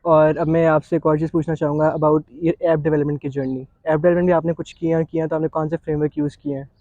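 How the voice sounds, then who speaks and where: A man's voice: fairly high pitch, level tone, fast talker, dark tone, dry recording, dull audio. A man with a Pakistani accent hosting a conversational interview, mixing English phrases into his speech. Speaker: interview show host.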